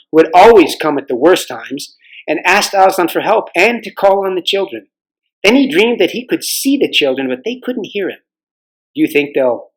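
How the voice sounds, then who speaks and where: A man reading aloud as a volunteer storyteller, with a measured storybook narration style for families. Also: a little high, rough recording.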